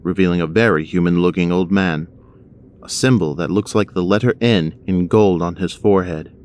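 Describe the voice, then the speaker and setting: A man's voice: low-pitched, normal speed, darkish tone. A man narrating a scripted action audio drama.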